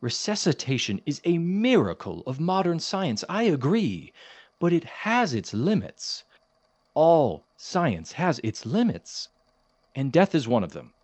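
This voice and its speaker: Audio drama academic, played by a man: male science professor, precise and kindly but cautious, expressive mid-pitched delivery, very dry sound. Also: unhurried, dry recording, full character voice. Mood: sceptical, firm. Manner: formal, precise, hesitant, gently reproving.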